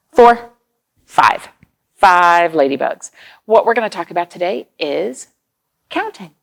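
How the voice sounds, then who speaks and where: A woman's voice: fairly low pitch, vocal fry. A woman hosting a children's storytime, reading aloud, explaining things simply and asking the young listeners questions.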